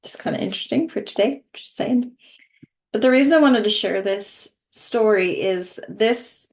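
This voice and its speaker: A middle-aged woman, a wellness coach, speaking earnestly and softly as she introduces and reads a short story aloud. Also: on the low side. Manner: earnest, soft-spoken, with small laughs. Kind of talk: casual intro then story reading.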